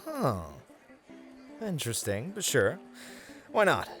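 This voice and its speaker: A man's voice: fairly high pitch, wildly swooping pitch, slow, a bit bright, soft background music. A man speaking in casual, playful banter as a player in an ASMR fantasy roleplay.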